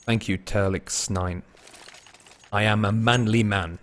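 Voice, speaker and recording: A man commentating solo on a live game stream, mixing play-calling with casual chat to viewers and shifting between excited and low-key delivery. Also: slow pace. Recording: noisy with game gunfire and clicks. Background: game gunfire and clicks.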